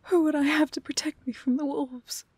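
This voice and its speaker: A woman acting a lovelorn young woman in an emotional fantasy audio roleplay, pleading in a breathy, halting way. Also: high voice, steady tone, darkish tone, no room sound. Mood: grieving, tender. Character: young woman pleading tearfully for her beloved beast. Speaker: voice actress.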